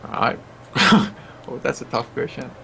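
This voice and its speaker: Gravelly Estonian man, hesitant. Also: mid-range voice, lively intonation, slow, sharp tone. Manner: hesitant, laughs self-consciously. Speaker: esports caster. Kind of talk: casual interview answers.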